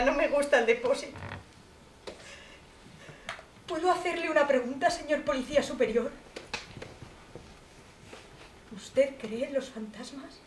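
An actress from playing a poor, lonely, half-mad old woman who pleads slowly on the phone, turning between bitterness and tears. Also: sits high, reverberant, far from the mic, a little hiss or hum, natural voice. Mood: quiet grief. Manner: slow, pleading, swinging between bitter and tearful. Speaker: actress in a monologue. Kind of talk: stage monologue.

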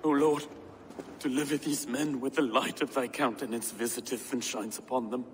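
An actor playing an earnest, courteous medieval English reeve in a video game, with old-fashioned polite phrasing. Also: male voice, mid-range voice, steady tone, normal speed, a little hiss or hum, natural voice. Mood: solemn. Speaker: sheriff. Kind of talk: dramatic video game cutscene acting.

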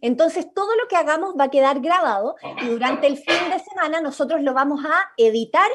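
A young woman with a Chilean accent and a high-pitched voice. She guides a group informally and encouragingly, speaking from indoors over a video call. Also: expressive, brisk, noisy background. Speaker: radio theatre workshop leader. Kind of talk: informal guiding and instruction.

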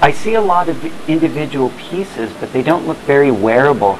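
A man's voice: on the high side, expressive, normal speed. A man asking conversational questions as an interviewer on a tour. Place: busy factory floor. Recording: busy factory background noise.

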